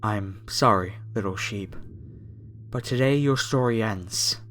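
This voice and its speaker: Guy doing a creepy cult captor for horror asmr, really slow, coaxing and preachy, faint music behind. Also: lowish voice, fairly bright tone. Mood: regretful menace. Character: masked cult fanatic captor, reverent, menacing, falsely soothing. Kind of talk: horror ASMR roleplay, scripted monologue to the listener.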